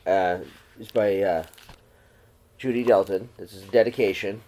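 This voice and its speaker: A man reading a children's picture book aloud like a teacher, with expressive, dramatized storytelling delivery. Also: fairly low pitch, a little slow. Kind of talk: read-aloud storybook narration.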